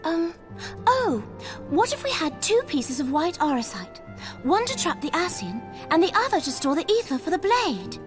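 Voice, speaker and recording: A woman's voice: very high-pitched, sing-song, normal speed, over music. An actress playing a small, eager and tentative young woman in a fantasy game. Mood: hopeful. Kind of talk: scripted fantasy game dialogue.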